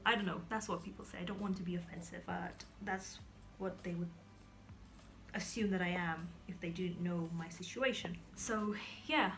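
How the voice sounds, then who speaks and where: A woman's voice: on the low side, moderate pace, creaky, a bit bright, soft background music. Young woman vlogging, rambling and restarting. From Kazakhstan. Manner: rambling, searching for words, many fillers and restarts; drops into whispers at times. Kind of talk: casual talk to camera.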